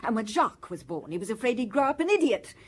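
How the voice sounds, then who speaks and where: Swooping, husky, haughty wife. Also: female voice, on the high side, big pitch swings, quick pace. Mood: indignant, insistent. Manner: imperious, indignant. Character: haughty, accusing upper-class wife under police questioning. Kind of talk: radio drama acting.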